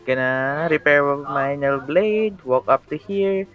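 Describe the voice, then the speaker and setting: A man playing a tabletop game on stream, relaxed and giving short, easygoing replies. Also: fairly high pitch, slow pace, phone-quality sound, faint music behind. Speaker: player. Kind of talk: casual tabletop game chat.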